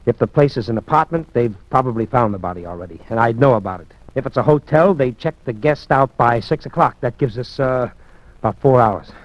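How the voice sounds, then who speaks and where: An actor playing a firm, steady police detective in a 1940s radio drama. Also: male voice, mid-range voice, brisk, dry recording, natural voice. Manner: firm, clipped, calls others kid. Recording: dull old radio broadcast.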